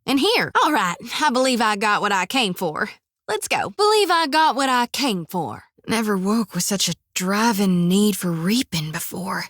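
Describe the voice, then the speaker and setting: An actress voicing a devout young female reaper priestess haunted by visions, with a drawl, often hushed and whispery, recorded dry in a studio. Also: medium pitch, big pitch swings, moderate pace, dry recording. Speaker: game character. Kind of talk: game voice acting.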